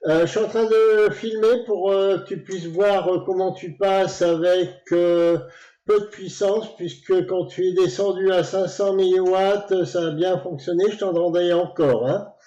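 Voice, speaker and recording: A man talking deliberately as an amateur radio operator, casual, repeating himself as he signs in. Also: high-pitched, steady tone, warm tone. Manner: deliberate, repeats his call sign. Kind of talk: casual radio contact chat. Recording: echoey, slightly dull room sound.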